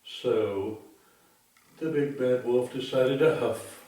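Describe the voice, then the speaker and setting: Slow male storyteller in an echoey room. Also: mid-range voice, warm tone. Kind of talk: darkly comic bedtime storytelling. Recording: very echoey room, distant microphone.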